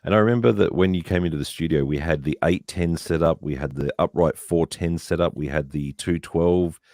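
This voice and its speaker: A man's voice: low-pitched, a little fast, darkish tone, dry recording, clean studio sound. A man hosting a live stream, chatty and informal, with "uh" fillers, addressing guests as "mate". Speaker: livestream host.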